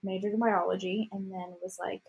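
A woman's voice: mid-range voice, flat delivery, a little fast, creaky, darkish tone, husky, low-quality recording. A woman from Egypt chatting casually as a co-host, telling her story in an informal, tangential way. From Egypt, until about thirteen. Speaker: podcast co-host. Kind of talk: casual chat. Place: remote call.

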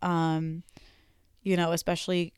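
A young woman talks through her solo podcast in a loose, rambling way, full of ums and casual swearing. Her voice sits a little low and comes across smooth and clear, with a slight hoarseness. The sound is dry and close, with no room echo. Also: little pitch movement, a little slow, a little mellow. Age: young adult. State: voice a bit hoarse. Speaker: podcast host.

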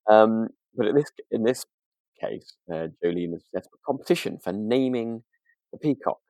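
A man co-hosting a podcast in relaxed chat, hesitant and agreeable as he thinks aloud. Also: on the low side, normal speed, no echo, rough recording. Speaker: podcast co-host. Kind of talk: relaxed chat discussing a radio drama.

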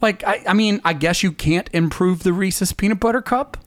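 A middle-aged man talking casually as a podcast co-host, chatty and quick to laugh as he wanders into stories. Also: high-pitched, fairly bright tone, no room sound, studio quality. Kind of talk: casual chat.

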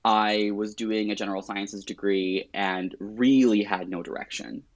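Low-voiced man, dry close sound. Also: fairly even intonation, measured pace, dry recording. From born in Lebanon, grew up partly in rural Alberta, Canada. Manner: wry, self-deprecating, chatty. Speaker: realtor, interview guest. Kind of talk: casual interview chat.

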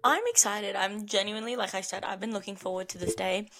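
A woman co-hosting a casual podcast, bubbly and excitable, her voice hoarse and worn out. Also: medium pitch, fast talker. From New Zealand or Australia. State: hoarse, has lost her voice. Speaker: podcast co-host. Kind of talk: casual chat.

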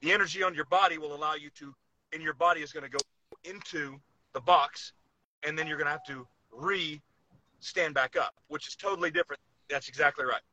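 A man's voice: on the high side, a little fast, pushed and bright, slight background noise, rough recording. A man co-hosting a podcast, giving training advice in blunt, insistent, casual talk with some swearing.

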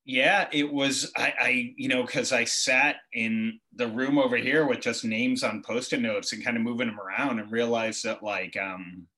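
An American man in his forties, an interview guest, telling personal stories in a casual, animated way and acting out bits of dialogue. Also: mid-range voice, moderate pace, hardly any pauses. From Boston, United States. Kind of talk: casual conversational storytelling.

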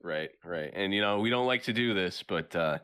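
A young man co-hosting a podcast whose voice is scratchy from illness, talking in a laid-back, halting way and laughing often. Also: deep voice, sharp tone, smooth. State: scratchy voice while recovering from illness. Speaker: podcast co-host. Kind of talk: casual comedic chat.

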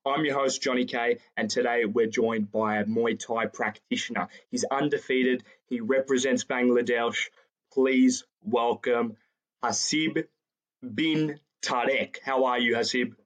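A man's voice: medium pitch, fairly even intonation, a little slow. A man hosting a combat sports interview show, asking questions in an upbeat, slangy way and often repeating words as he starts. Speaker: host.

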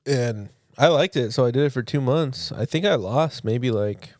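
A man's voice: mid-range voice, normal speed, no echo, clean studio sound. A young man chatting casually as a podcast co-host in an informal, slangy and blunt way. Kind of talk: casual chat between friends.

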